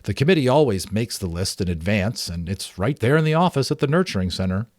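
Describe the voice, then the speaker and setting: A man voicing a thoughtful father in a storybook, confiding in a measured manner. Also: on the low side, very animated, no room sound, studio quality.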